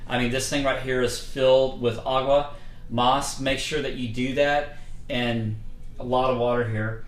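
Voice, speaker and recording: Male vocal coach, mid pitch, casual livestream lesson full of fillers, roomy echo. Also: unhurried. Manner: relaxed, conversational, many fillers. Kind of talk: casual instructional talk. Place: indoors, livestream setup.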